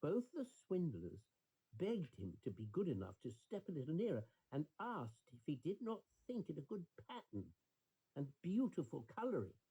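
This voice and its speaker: Very mellow male bedtime storyteller. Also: fairly high pitch, huge pitch swings, moderate pace, husky, highs cut off. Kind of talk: bedtime story narration for children, scripted.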